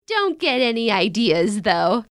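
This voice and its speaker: An actress playing an outspoken, wisecracking young American elder sister in a naturalistic modern audio drama, with blunt, casual banter. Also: female voice, a little high, big pitch swings, measured pace, no room sound, studio quality. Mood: teasing, affectionate. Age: young adult.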